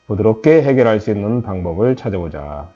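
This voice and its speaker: Low, dark-voiced Korean man lecturing. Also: expressive, a little fast, slightly rough, highs cut off. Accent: Jeolla Korean, mostly softened. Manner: conversational, with many "eo" fillers and restarts. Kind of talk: recorded lecture to trainees.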